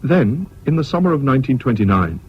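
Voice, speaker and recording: An actor playing an educated English gentleman narrating his memories in a radio drama, measured, candid and wry. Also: mid-range voice, big pitch swings, slightly rough. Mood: reflective, wry. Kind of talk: scripted first-person radio drama narration. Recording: dull old radio recording.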